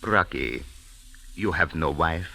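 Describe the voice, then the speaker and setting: A man's voice: rumbling low, animated, slow, vocal fry, pushed and bright, exaggerated voice. A man playing a genial, insistent desert sheikh, speaking in courtly phrases in old radio style. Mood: courtly, persuasive. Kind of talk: old-time radio acting. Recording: vintage radio drama, music behind.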